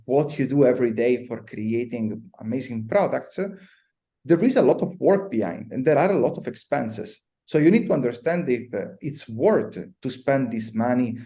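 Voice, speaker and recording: A man with an Italian accent, a guest on a podcast interview, explaining at a measured pace with frequent hesitations. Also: medium pitch, expressive, dark tone. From Italy.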